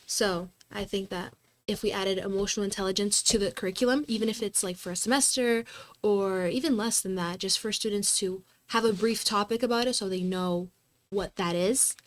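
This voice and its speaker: Teenage girl, student guest, earnest, repetitive, mid-pitched voice. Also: normal speed. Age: teens. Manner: earnest, repetitive, building lists.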